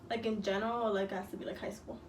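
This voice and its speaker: A woman's voice: medium pitch, flat delivery, rapid-fire, slight background noise. An eighteen-year-old woman from El Salvador co-hosting a casual Q&A vlog in a slangy, candid way. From El Salvador, moved to the United States as a child. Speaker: vlog co-host. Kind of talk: casual Q&A chat. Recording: roomy, distant mic, music behind. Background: music.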